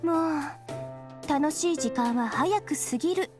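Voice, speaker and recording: A devilish young AI girl from an anime game, speaks in a high, highly animated voice. She is coy and courteous, teasing with a sugary menace. Music plays behind her. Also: a little slow. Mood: sweet, mock regret. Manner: coy, playful, polite, mock-sweet with sinister undertone. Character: teasing, devilish young female moon super AI, sweetly menacing. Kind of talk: Japanese anime game voice acting.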